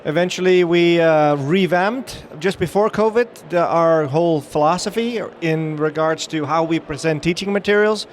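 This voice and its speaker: A man's voice: a little high, moderate pace, hardly any pauses, slight background noise. Upbeat Dutch-accented man presenting on a show floor. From Netherlands. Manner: chatty, upbeat, with frequent fillers and restarts. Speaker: dive training director giving a trade-show talk. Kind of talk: live presentation to an audience.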